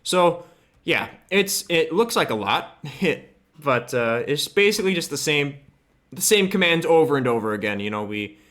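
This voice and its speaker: A man giving a casual, offhand software tutorial, thinking aloud as he works. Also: fairly high pitch, expressive, normal speed. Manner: informal, loose and offhand, occasional swearing. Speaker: host of a game modding tutorial. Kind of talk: casual screen-capture tutorial, thinking aloud while working.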